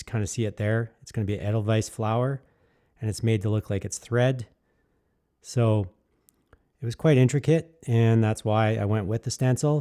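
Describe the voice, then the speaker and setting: A man's voice: fairly low pitch, dark tone, clear, resonant tone. A man narrating his own work in an easygoing, rambling way, candid and enthusiastic, with many fillers and restarts. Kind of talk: casual reflective voice-over.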